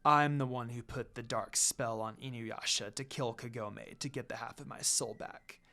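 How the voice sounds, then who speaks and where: A man's voice: mid-range voice, steady tone, moderate pace, creaky, pushed and bright, voice pushed down. Man voicing a smug, cruel undead priestess.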